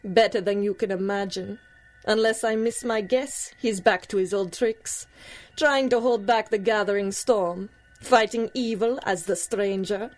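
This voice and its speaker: Measured, enigmatic voodoo priestess, played by an actress. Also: female voice, mid-range voice, a little mellow, natural voice, low-quality recording. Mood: knowing, amused. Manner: measured, formal, knowing. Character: ageless, enigmatic voodoo priestess, proud, worldly and teasing. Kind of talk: 1930s-style radio drama acting.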